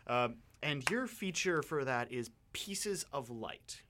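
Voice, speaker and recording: Male radio co-host, animated intonation, mid-range voice, wordy and meandering interviewer. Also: medium pitch, slow, noisy background. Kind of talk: casual interview chat. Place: radio studio.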